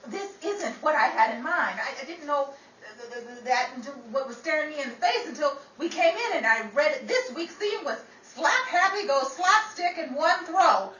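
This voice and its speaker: A woman's voice: high voice, animated, moderate pace, sharp tone. A woman playing a brash, wisecracking broadcaster in a 1930s radio comedy, sassy and stammering when flustered. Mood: flustered protest. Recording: roomy, distant, noisy with crowd behind.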